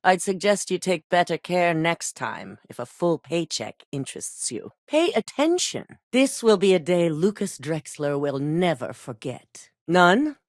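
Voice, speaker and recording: A woman's voice: on the low side, big pitch swings, measured pace, few pauses, dry recording, studio quality. An actress voicing a cold, exacting female corporate executive in a video game, curt and authoritative. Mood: stern.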